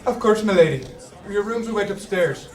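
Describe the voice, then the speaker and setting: A man's voice: sits high, lively intonation, moderate pace, darkish tone, distant mic. A man playing a jovial, folksy and eager-to-please innkeeper in a fantasy audio drama. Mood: obliging. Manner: folksy, effusive, slightly flustered. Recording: roomy echo, off the mic.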